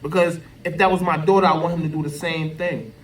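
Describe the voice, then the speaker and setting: High-voiced American man, emphatic and distant. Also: steady tone, brisk. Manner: emphatic, repetitive, colloquial. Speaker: social media commentator. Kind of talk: unscripted opinion rant to camera. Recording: voice distant from the mic.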